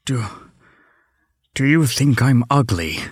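A storyteller voicing a fierce male beast from a fairy tale, with slow, emphatic, theatrical delivery. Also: mid-range voice, lively intonation, husky, no room sound, clean studio sound, pitched below the performer's own voice. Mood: hesitant. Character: fierce beast with lion head, male, gruff but lonely.

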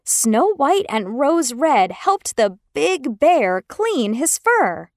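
Slow, expressive woman storyteller, high voice. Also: no echo, clean studio sound. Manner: expressive, careful. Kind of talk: scripted children's fairy tale narration.

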